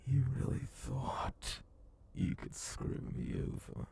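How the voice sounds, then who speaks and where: A man playing a sadistic cyborg bounty hunter boss in an ASMR horror roleplay, with a gravelly half-whisper and slow, taunting delivery. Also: background noise, natural voice. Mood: cold, threatening. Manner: slow, menacing, drawn-out taunting.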